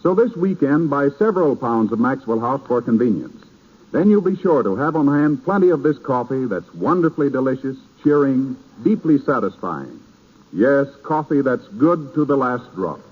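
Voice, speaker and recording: An American man working as a radio announcer, reading a scripted 1930s advertising pitch in an emphatic, polished salesman's delivery. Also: sing-song, normal speed, slightly rough. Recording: tinny band-limited 1930s radio broadcast.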